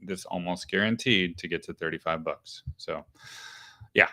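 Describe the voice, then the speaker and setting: A man hosts a live market show and walks through stock charts in a casual, conversational way. His voice sits a bit low and smooth. He thinks aloud, with fillers and small restarts between points. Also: fairly even intonation, normal speed, sharp tone.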